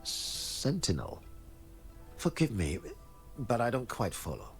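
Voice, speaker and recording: A man's voice: lowish voice, wildly swooping pitch, takes it slowly, exaggerated voice. An actor playing a polite, deferential male mechanist in a fantasy game, speaking in a formal manner. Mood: earnest. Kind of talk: scripted game voice acting. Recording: music under the voice.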